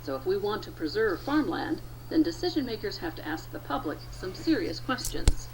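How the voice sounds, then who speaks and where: A woman's voice: mid-range voice, sing-song, moderate pace, background noise. A woman with an American accent reading scripted news narration as a science reporter.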